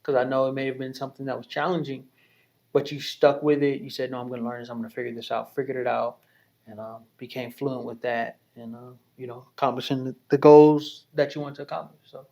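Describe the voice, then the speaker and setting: A man's voice: mid-range voice, flat delivery, quick pace, creaky, warm tone. A man hosting a podcast, leading an interview in a chatty, encouraging way, often adding "right?" and "you know". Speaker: podcast host.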